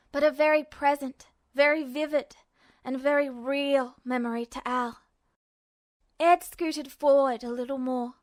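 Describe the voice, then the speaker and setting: A woman reading scripted fiction aloud as a narrator. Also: high-pitched. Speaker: podfic narrator.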